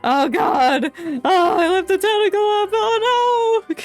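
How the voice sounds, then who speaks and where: A woman's voice: extremely high pitch, lively intonation, takes it slowly. A woman giving casual, joking, reactive commentary as she plays a game on a live stream. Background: game music.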